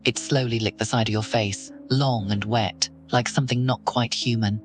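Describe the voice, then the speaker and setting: A man narrating a horror audio roleplay in the second person, with a steady, ominous delivery. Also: mid-range voice, normal speed, soft background music.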